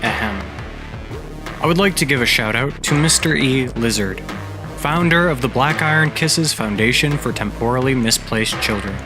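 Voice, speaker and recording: A man hosting his own podcast, narrating a story and giving announcements in a steady, measured way. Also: mid-range voice. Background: background music.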